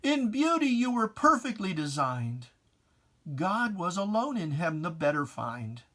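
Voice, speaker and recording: Poetry reader, solemn and theatrical, very measured pace, mid pitch, for dramatic religious verse. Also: big pitch swings, fairly bright tone. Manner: solemn, theatrical, drawn-out phrasing.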